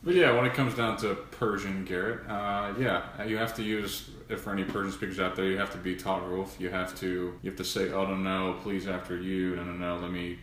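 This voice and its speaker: Brief: low, monotone male co-host, hesitant phrasing, echoey room with faint hiss. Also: a little fast, few pauses. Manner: searching, hesitant phrasing with restarts. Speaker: podcast co-host. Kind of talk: casual podcast interview.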